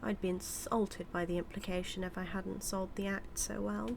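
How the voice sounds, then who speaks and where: A woman's voice: mid-range voice, flat delivery, moderate pace, vocal fry, background noise, lightly acted. A British woman playing a smug, scheming supervillain in an audio roleplay, taunting her nemesis with a theatrical, drawling delivery that dips toward whispers. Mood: smug, teasing. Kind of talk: scripted villain monologue roleplay, spoken to the listener.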